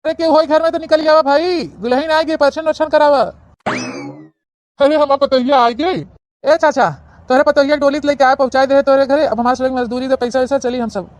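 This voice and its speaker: A woman as a high-voiced, grumbling palanquin bearer. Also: fairly even intonation, fairly quick, a little mellow, natural voice. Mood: impatient. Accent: Awadhi. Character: hurried, grumbling village palanquin bearer, male. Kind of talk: Awadhi comedy cartoon voice acting.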